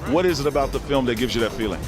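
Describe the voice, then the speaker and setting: A man's voice: medium pitch, lively intonation, rapid-fire, over music. A man hosting and interviewing fans outdoors at a cinema premiere, with an upbeat presenter's delivery. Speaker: host and interviewer. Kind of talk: event reporting and street interviews.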